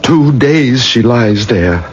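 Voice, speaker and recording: An actor playing an anguished, pleading father in a radio drama, with a husky voice. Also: medium pitch, expressive, slow. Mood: worried. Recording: dull old radio broadcast.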